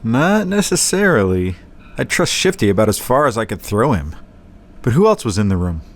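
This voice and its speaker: A man's voice: mid-range voice, big pitch swings, moderate pace. A man playing a roguish, easygoing bum with a wry, flirtatious manner in a noir-flavoured audio drama. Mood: wary.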